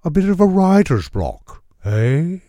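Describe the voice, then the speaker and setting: A man doing a slow, crisp old shopkeeper. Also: fairly high pitch, very animated, dark tone, no room sound, clean studio sound, pitched above the performer's own voice. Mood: courteous, eerie. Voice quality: strong crisp voice with an unplaceable accent. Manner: slow, measured, formally polite. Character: old male bookstore proprietor, gaunt, courteous, eerie.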